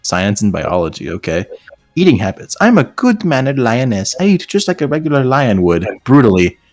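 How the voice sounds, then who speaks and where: A man's voice: mid-range voice, big pitch swings, normal speed, a little mellow, no echo, clean studio sound. A man reading aloud and riffing on it casually with friends, in a joking, sarcastic way. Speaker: host reading and mocking fan fiction. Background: faint background music.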